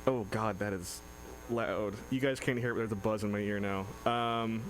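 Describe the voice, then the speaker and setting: A man's voice: lowish voice, steady tone, normal speed, a little hiss or hum, poor audio. A man hosting a radio show in a casual, joking and self-deprecating way, speaking into a studio microphone. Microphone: studio microphone with headphones.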